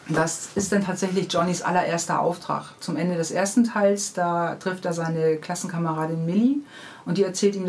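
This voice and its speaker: A woman's voice: low-pitched, darkish tone. A woman in a casual interview, explaining her children's books in detail as their author. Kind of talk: casual interview chat about own books. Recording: clearly noisy background ambience.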